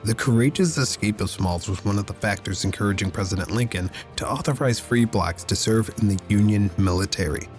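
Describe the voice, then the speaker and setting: Casting: male podcast host, lowish voice, raspy and squeaky after illness, steady factual reads, faint music under. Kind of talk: read history segments and casual sign-off.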